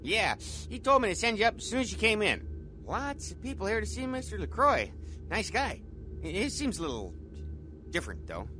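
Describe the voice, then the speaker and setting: A man's voice: high voice, very animated, moderate pace, sharp tone, faint music behind, exaggerated voice. An actor playing a friendly, simple-minded male security guard in a video game, chatty, earnest and proud of himself.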